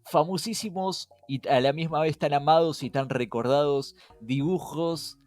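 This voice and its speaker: A man's voice: on the high side, a little slow, darkish tone. A young man with a Rioplatense Spanish accent, co-hosting a conversational podcast in an emphatic way, putting questions straight to the listener. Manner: emphatic, rhetorical questioning, addresses listener directly. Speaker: podcast co-host. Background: background music.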